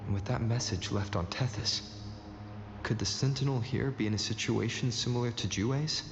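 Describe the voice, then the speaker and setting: An actor playing a calm, analytical young wandering hero in a video game, measured, cool and reflective. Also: male voice, medium pitch, noisy background, natural voice. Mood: pensive. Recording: echoey, muffled game capture under music. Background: prominent game music.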